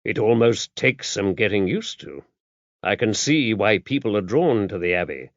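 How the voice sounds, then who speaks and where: A mild male priest played by an audiobook narrator, tactful and polite. He speaks softly, almost murmuring. The recording is dry and close. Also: on the low side, lively intonation, normal speed, no room sound, dull audio. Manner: soft-spoken, murmuring, polite. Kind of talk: audiobook character dialogue.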